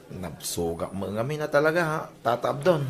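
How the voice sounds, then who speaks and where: An actor with an Ilocano Filipino accent playing a bashful husband who gets drunk and silly, in a radio comedy drama. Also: male voice, medium pitch, sing-song, a little fast. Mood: shy, polite.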